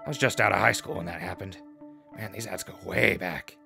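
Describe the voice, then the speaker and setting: A man playing a wry wasteland radio host in an audio drama, dry in manner, with a gravelly voice. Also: deep voice, a little fast, bright, forceful tone, faint music behind, heavily acted.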